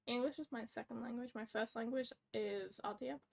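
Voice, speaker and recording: A woman's voice: fairly high pitch, fairly even intonation, brisk, gravelly, a loud crowd. A woman co-hosting a casual livestream, scattered and self-mocking as she talks herself through her own fumbles. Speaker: livestream co-host.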